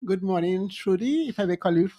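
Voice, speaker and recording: A Dominican woman in her sixties, a longtime nurse, talking with warmth and chatty asides as a guest on a radio interview at a studio microphone. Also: mid-range voice, animated, a little fast. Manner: warm, chatty, with laughter and asides. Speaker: nurse and home health provider, guest.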